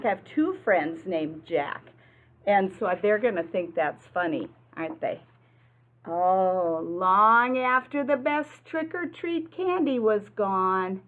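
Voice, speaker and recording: A woman's voice: medium pitch, narrow, tinny audio. A woman preschool teacher presenting a lesson and reading aloud to young children, explaining simply and prompting them with questions. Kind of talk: children's lesson and picture-book read-aloud.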